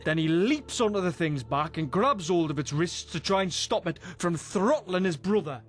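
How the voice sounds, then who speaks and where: An actor playing a boisterous, rough British adventurer in a fantasy game, telling tales in a salty, wry way. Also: male voice, sits high, lively intonation, moderate pace, fairly bright tone. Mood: wry storytelling. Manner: salty, foul-mouthed, wry storyteller. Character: boisterous rough male adventurer spinning a monster tale. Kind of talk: in-character storytelling in a fantasy game.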